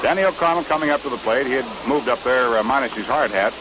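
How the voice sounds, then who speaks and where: A man's voice: mid-range voice, fast, background noise. An American man calling baseball play-by-play in a folksy 1950s radio commentary style, on a muffled old broadcast recording. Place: ballpark broadcast booth.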